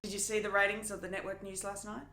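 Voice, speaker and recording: A woman's voice: mid-range voice, flat delivery, fast, sharp tone. A woman performing a modern drama monologue as an ambitious television executive, eager, insistent and urgent. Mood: eager, scheming. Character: ambitious, excitable female television executive pitching hard. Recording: roomy, echoing untreated room.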